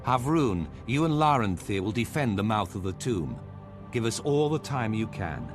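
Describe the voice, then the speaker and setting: An actor playing a solemn, scholarly plant-folk marshal in a video game cutscene, speaking in an earnest, formal, measured way. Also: male voice, fairly low pitch, sing-song. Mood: resolute, formal. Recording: voice over loud game music.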